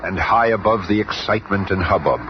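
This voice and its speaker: A man's voice: fairly low pitch, fairly quick, natural voice. A man narrating a superhero adventure dramatically in a 1970s radio drama. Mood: dramatic. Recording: noisy, dull old radio recording.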